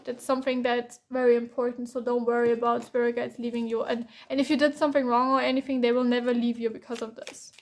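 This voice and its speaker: A woman from Germany giving a casual tarot reading, hoarse with a cracking voice, reassuring and repeating herself. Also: fairly high pitch, flat delivery, quick pace, hardly any pauses. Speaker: tarot reader.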